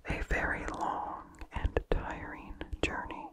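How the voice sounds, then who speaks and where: An ASMR host reading in a breathy, unvoiced whisper, slowly and calmly, with careful enunciation. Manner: slow, calm, careful enunciation. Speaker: ASMR host and story reader.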